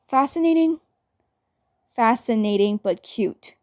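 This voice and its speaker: A woman doing character roleplay for a fan dub, halting and stammering, often repeating her phrases. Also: fairly high pitch, lively intonation, darkish tone, no room sound, studio quality.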